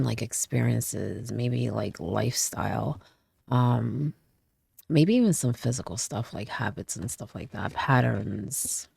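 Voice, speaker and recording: A woman's voice: a bass voice, creaky, dark tone, breathy edge. A woman doing a casual tarot reading, a little stuffy from a cold, chatty and often checking in with "okay?" and "right?". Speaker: tarot reader.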